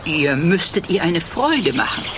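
A woman voicing a wise, dignified old female owl countess in an audio story, calm and gently teasing. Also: fairly low pitch, unhurried, background noise. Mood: musing.

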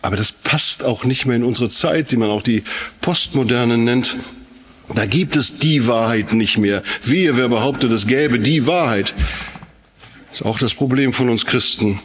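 A man's voice: medium pitch, unhurried. Man preaching with rhetorical emphasis. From Sauerland, Germany. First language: German. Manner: rhetorical, emphatic, with repetition and questions. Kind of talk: sermon-like public talk.

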